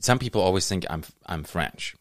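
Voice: sits low, lively intonation, moderate pace, a crowd in the background. A podcast host with a German accent, a native German speaker, chatting casually, laughing often and searching for English words. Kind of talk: casual conversational interview.

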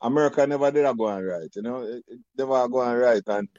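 A man in his fifties from Jamaica with a Jamaican accent, recalling his childhood in a casual, halting way full of restarts. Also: medium pitch, warm tone, no room sound, dull audio. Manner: patois-laced, halting, many restarts and fillers. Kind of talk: casual reminiscing chat.

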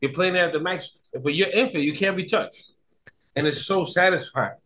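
A man chatting casually about retro video games as a podcast guest, excitable and emphatic, often repeating himself. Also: high-pitched, a little fast, a little hiss or hum.

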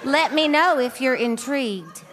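A forward, flirtatious dog with a high voice. The delivery is a comic stage reading of a personal ad, with some noise around it. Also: female voice, expressive, measured pace, fairly bright tone. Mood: flirty, forward.

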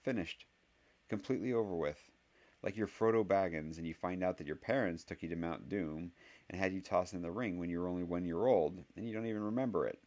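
A man voicing a clever, curious young boy wizard who talks quickly and analytically. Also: low voice, darkish tone, dull audio, close to an everyday voice. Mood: earnest.

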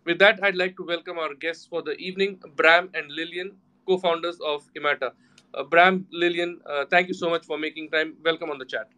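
A man's voice: a little high, steady tone, a little fast, pushed and bright. A man hosting a live podcast chat, welcoming guests and asking questions while repeating words and restarting his phrases often. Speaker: podcast host.

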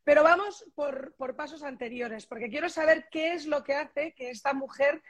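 A woman hosts a podcast interview, posing long questions that wind through several thoughts before landing. Her voice sits high. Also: normal speed. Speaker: podcast host.

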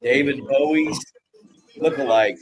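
A man co-hosting a wrestling podcast, calling the action and making crude jokes. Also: mid-range voice. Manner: drawn-out reactions, crude jokes, play-by-play calls. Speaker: podcast co-host. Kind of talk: casual live banter watching wrestling.

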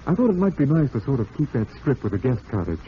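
An actor playing a smooth-talking, falsely cheerful husband with murder in mind, in old-time radio drama style. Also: male voice, medium pitch, sing-song, fast talker, natural voice. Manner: suave, falsely cheerful, darkly ironic. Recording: dull, noisy vintage radio recording.

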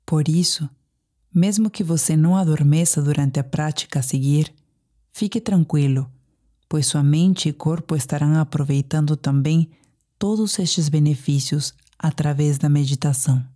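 Low, mellow-voiced Brazilian woman guiding meditation. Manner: soothing, deliberate phrasing with pauses. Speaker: meditation guide. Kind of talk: guided sleep meditation.

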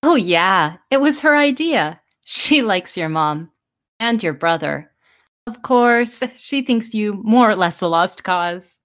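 Woman acting teen girl, plucky n teasing, mid-pitched, audio drama dialogue. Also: expressive, slow pace, fairly bright tone. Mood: casual, playful. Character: plucky, teasing teenage girl, the hero's sweetheart. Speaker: character actor in a fan audio drama.

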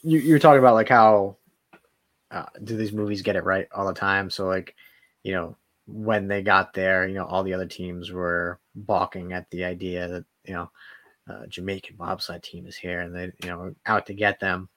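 Lowish-voiced man in casual, dry-sounding chat. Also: fairly even intonation, normal speed, a bit bright, dry recording. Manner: informal, loose storytelling with many "like"s. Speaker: podcast co-host.

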